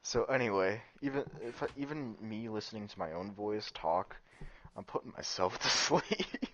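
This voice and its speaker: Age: adult. Sex: man. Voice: deep, growly, monotone. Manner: rambling, sweary, laughs often. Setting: casual gaming-stream chat. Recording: muffled lo-fi headset audio. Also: normal speed, slight background noise. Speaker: streamer's friend.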